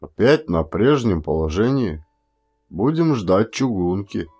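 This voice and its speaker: A man's voice: fairly low pitch, sing-song, a little mellow, slightly rough, heavily acted. Man acting a garrulous rustic peasant storyteller over music. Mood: animated marvelling. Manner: folksy, exclamatory storytelling with drawn-out pauses. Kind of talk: dramatised audiobook monologue. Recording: music under the voice.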